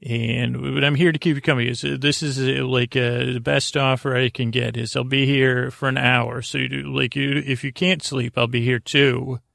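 Creaky, rambling male sleep podcaster. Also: mid-range voice, level tone, a bit bright, dry recording, clean studio sound. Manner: meandering and halting, trailing off into tangents; self-deprecating. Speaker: podcast host.

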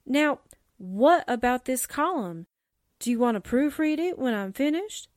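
Woman acting a high, sassy secretary sidekick. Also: animated, a little slow, darkish tone, no room sound, studio quality. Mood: brisk teasing. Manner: blunt, slangy. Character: sassy, suspicious, wisecracking female secretary sidekick. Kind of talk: 1940s radio drama acting.

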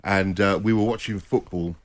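A man's voice: deep voice, fairly even intonation, moderate pace. A middle-aged man, a former actor, chatting on a radio show in a jokey, rambling way as he tells anecdotes. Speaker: studio guest, former actor. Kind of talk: casual radio chat.

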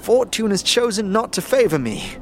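An anxious, courteous runner from a fantasy city. His fairly high voice leaps up and down through hesitant, flowery phrases, with faint noise behind. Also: expressive, normal speed. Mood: pained, pleading. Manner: flowery, apologetic, hesitant, over-polite. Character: anxious, courteous, heavy-set but delicate messenger. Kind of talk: fantasy audio drama acting.

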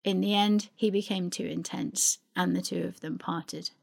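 A woman presenting a narrated talk on art history, explaining in a measured, informative way. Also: fairly low pitch, fairly even intonation, vocal fry. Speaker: speaker presenting a family archive.

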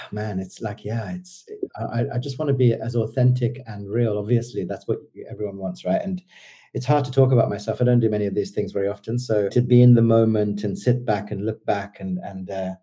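Low, dark-voiced man, hesitant. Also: steady tone, brisk. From Sri Lanka. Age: 50s. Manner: hesitant, rambling, many fillers and restarts. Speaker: guest entrepreneur. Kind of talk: casual interview talk.

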